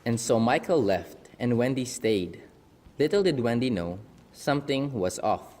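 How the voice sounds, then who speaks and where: A man's voice: medium pitch, lively intonation, measured pace, darkish tone. Reverberant male narrator. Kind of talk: scripted storybook narration. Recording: long reverb, music behind.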